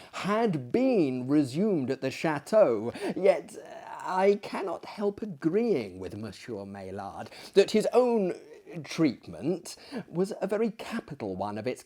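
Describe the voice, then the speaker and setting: A man's voice: on the high side, a little mellow. A man telling a classic story as a dramatic reader, with hammy, pompous delivery and heavy emphasis. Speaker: storyteller, host of a story reading series.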